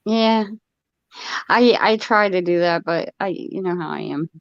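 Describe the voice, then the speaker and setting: A woman's voice: fairly low pitch, measured pace, dry recording. A middle-aged American woman with an Ohio accent and a trace of Florida, rambling and laughing often as she chats on a live stream. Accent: Ohio, with some Florida. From United States. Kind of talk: casual live stream chat. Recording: dull, dry lo-fi mic.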